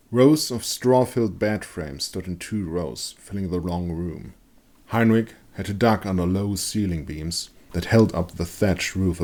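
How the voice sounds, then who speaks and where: A man giving a dramatic scripted narration of a dark fantasy story. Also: low-pitched. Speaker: author and narrator.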